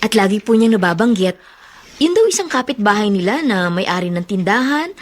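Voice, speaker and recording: An actress playing a polite, earnest young daughter-in-law in a radio drama. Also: female voice, medium pitch, lively intonation, brisk, a little hiss or hum, natural voice. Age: young adult. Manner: respectful, earnest. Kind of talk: Filipino radio drama acting.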